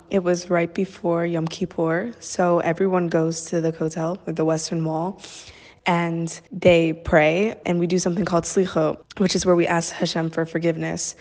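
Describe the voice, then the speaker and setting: Young woman, low gravelly voice, flat delivery, long reverb. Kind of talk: casual anecdote.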